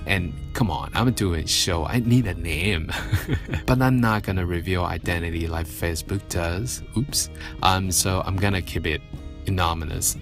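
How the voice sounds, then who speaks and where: A low-voiced man chatting on his evening radio show from a studio mic, rambling and joking at his own expense. Faint music plays quietly behind him. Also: a little slow. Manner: chatty, self-mocking, rambling. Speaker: radio host. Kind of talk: casual solo radio talk.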